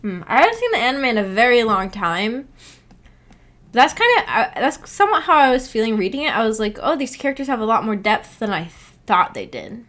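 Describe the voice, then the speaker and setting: A woman's voice: a little high, very animated, brisk, fairly bright tone. A woman livestreamer chatting casually with her viewers, in a rambling, hesitant, giggly manner. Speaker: livestreamer, book club host. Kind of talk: casual livestream chat, reading and answering viewer comments.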